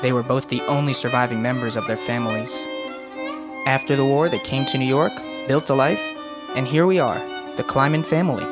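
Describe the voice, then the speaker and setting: A young man narrating a documentary in a measured, earnest, scripted way. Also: mid-range voice. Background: violin music.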